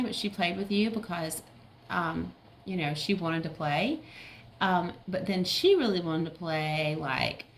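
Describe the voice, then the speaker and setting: A woman's voice: mid-range voice, a little hiss or hum. A woman teacher reading a picture book aloud to young children, with simple explanations and check-in questions.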